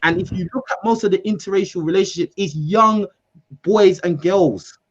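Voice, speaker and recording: A man from the UK, hosting a call-in show in a casual, animated debate, repeating himself for emphasis. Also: high voice, normal speed, husky, lo-fi sound. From United Kingdom. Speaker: host.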